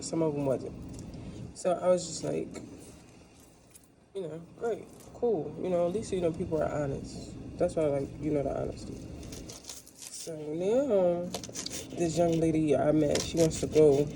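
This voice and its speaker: A woman from the United States vlogging in a casual, rambling storytelling way while she cooks, recorded without a dedicated microphone. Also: fairly low pitch. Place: kitchen. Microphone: no dedicated microphone, built-in device mic. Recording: noisy kitchen room without a microphone.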